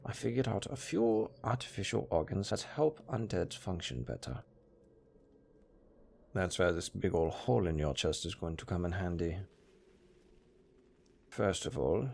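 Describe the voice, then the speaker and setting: A man's voice: sits low, gravelly, exaggerated voice. A man playing a pragmatic, chatty necromancer who talks casually and matter-of-factly about grisly work in an audio roleplay. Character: chatty, pragmatic male necromancer, former tailor. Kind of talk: intimate audio roleplay acting.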